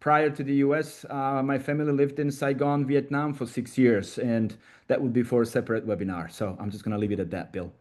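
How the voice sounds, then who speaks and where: Man, 50s, Slovak accent, guest speaker, measured, polite, mid-pitched. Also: little pitch movement, a little mellow. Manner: measured and polite, with brief restarts. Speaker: guest speaker, tech founder. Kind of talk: webinar self-introduction.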